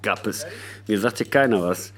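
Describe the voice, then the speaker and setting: An actor playing a grumbling, dismissive film director in a German radio drama. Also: male voice, fairly low pitch, a little slow, close to an everyday voice. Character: grumbling, shrugging male film director kept uninformed.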